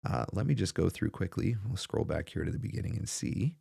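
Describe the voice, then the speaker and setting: Low-voiced man, dry close studio mic. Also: lively intonation, a little fast, vocal fry, mellow, husky, dry recording. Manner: emphatic, rhetorical questions, repeats key phrases for stress. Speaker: host, voice actor coach. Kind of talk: casual livestream Q&A advice. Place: small home studio, indoors. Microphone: close desk broadcast microphone.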